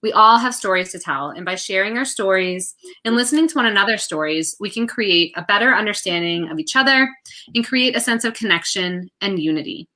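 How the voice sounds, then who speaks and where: A woman with a clearly bright, forward voice delivers a prepared video message. She is articulate and earnest, speaking at a medium pitch as an author addressing viewers. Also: lively intonation, a little fast. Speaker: children's book author and art teacher.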